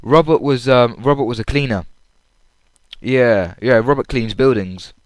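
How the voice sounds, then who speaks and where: A man's voice: medium pitch, a little slow, no echo. A man telling an anecdote in a casual, rambling solo audio log. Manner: rambling, acts out quoted lines loudly. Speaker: audience member recapping a comedy show.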